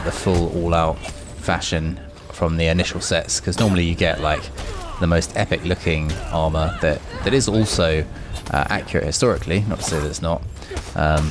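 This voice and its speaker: A man hosting a gaming video, talking in a casual, chatty, rambling way as he gives his commentary. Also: extremely low pitch. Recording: noisy with clear background noise.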